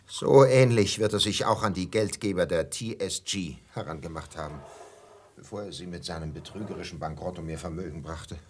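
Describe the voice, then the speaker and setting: An actor in a German radio drama playing a whining, resentful barkeeper with a husky voice, given to self-pitying rants. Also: male voice, deep voice, fairly quick. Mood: indignant complaining. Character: whining, resentful hotel barkeeper, obsequious yet vengeful man.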